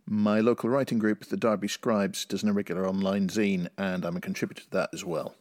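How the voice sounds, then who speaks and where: A man's voice: fairly low pitch, little pitch movement, fairly quick, no echo, clean studio sound. A middle-aged man from Northern Ireland, speaking as a writer and podcast guest. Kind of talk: interview answers and reading own short story.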